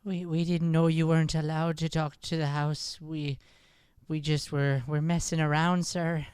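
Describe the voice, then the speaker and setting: Man acting a nervous Irish schoolboy, clear voice. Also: on the high side, little pitch movement, measured pace, a little mellow, no echo, studio quality, lightly acted. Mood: nervous pleading. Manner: stammering, defensive. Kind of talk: improvised old-time radio comedy play.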